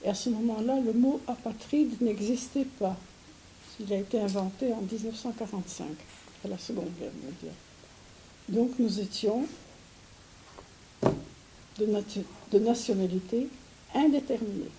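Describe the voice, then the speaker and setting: An older woman from Syria giving an interview, speaking hesitantly with many restarts and soft laughs. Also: mid-range voice, measured pace, long pauses, slight background noise, low-quality recording. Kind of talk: recorded interview.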